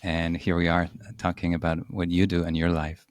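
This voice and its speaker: A man with a Polish accent hosting a podcast, asking measured, open questions of his guest. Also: low voice, completely flat delivery, no echo, studio quality. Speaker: podcast host. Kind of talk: interview.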